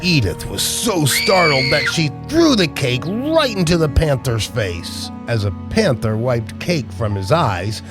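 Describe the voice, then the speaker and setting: Older man, children's storyteller, playful, very lively pitch, unhurried, light music behind. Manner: animated, playful, exaggerated for children. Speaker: children's storytime host and entertainer. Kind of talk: children's storytelling and talk to young viewers.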